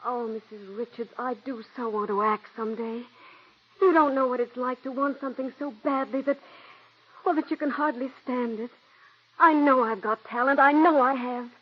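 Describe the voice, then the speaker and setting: An actress voicing a young, stage-struck female stenographer who is adoring and eager, in 1940s radio acting style with a breathy, gushing way of talking. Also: sits high, fairly even intonation, moderate pace. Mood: pleading. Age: young adult. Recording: dull 1940s radio broadcast.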